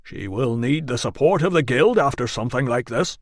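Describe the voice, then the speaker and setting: Man playing a formal guild man, rich bass, slow and measured, dry sound. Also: expressive, no room sound, natural voice. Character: dignified middle-aged local guild man.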